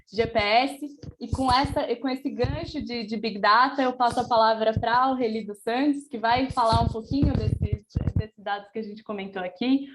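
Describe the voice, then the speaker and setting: A woman with a Brazilian Portuguese accent moderates an online panel. Her voice sits a little high, and she speaks in a semi-formal, conversational way. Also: slight background noise, poor audio. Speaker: round-table moderator.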